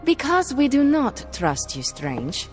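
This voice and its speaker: Proud, bitter sorceress, animated pitch. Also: female voice, slow pace, heavily acted. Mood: scornful. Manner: curt, scornful, formal phrasing. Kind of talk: dramatic video game acting. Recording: faint music behind.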